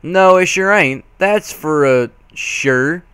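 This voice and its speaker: A man's voice: on the high side, takes it slowly, fairly bright tone, clean tone, no echo. A man voicing a grown female farm pony, a big sister with folksy country phrasing, in a story reading.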